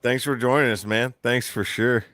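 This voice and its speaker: A man's voice: medium pitch, fairly even intonation, normal speed, a bit bright, no echo, studio quality. A man from Alabama hosting a casual live-stream podcast, rambling and joking with chat. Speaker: podcast host.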